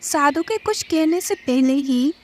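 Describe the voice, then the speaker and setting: A woman's voice: sits high, lively intonation, unhurried, noisy background. A woman reading scripted narration for a children's cartoon story.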